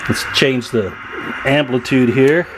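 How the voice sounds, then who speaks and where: A man's voice: mid-range voice, slow, background noise. A man giving an informal repair walkthrough as a technician, thinking aloud while he works. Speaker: radio repair technician, video host. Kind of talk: casual hands-on repair walkthrough.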